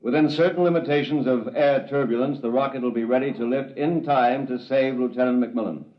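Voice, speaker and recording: Actor as curt military officer, echoey dull sound. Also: male voice, mid-range voice, fairly quick, natural voice. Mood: brusque, official. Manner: clipped, laconic, commanding. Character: curt military officer briefing the press, man, no-nonsense. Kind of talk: mid-century radio drama acting. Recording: dull, echoey old radio recording.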